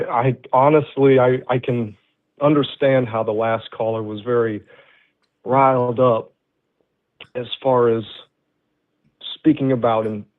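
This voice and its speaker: A man in his thirties calling into a political stream, laying out his view in a measured, hesitant way with frequent restarts. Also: mid-range voice. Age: 30s. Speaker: caller. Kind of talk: casual debate on a call. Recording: tinny phone-like call audio.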